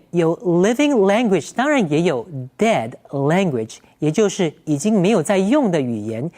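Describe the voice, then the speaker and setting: Woman narrator, low voice, very animated scripted read. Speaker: segment narrator.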